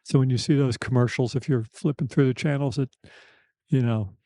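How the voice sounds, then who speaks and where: Soft-voiced mature man, mellow and halting. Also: medium pitch, fairly even intonation, dry recording. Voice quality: soft, slightly weakened voice; slight lisp, thick-tongued articulation. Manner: halting, candid, wry. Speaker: podcast host. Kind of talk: reflective personal conversation.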